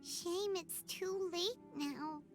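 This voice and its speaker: A woman voicing a tiny, cheeky fairy companion in a video game, with a squeaky childlike voice and a blunt manner. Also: extremely slow, music in the background, full character voice. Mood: subdued.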